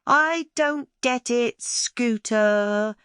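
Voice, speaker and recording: Earnest seven-year-old storybook girl sleuth, played by a woman, raised pitch, bewildered questioning. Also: very slow, fairly bright tone, smooth, dry recording, clean studio sound. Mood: puzzled.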